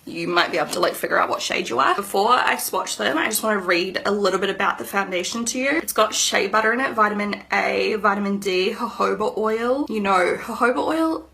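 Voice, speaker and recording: Woman, thirties, beauty vlogger, upbeat and rambling, slightly nasal, vocal fry. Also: medium pitch, brisk, few pauses, sharp tone. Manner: chatty, upbeat, rambling asides. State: slightly nasal from hay fever. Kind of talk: casual makeup review to camera.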